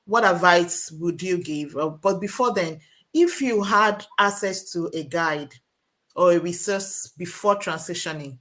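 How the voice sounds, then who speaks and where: A woman's voice: fairly low pitch, unhurried, a bit bright. A woman hosting a nursing podcast, asking questions in a conversational way and rephrasing them as she goes. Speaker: podcast host and nurse coach. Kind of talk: casual interview chat.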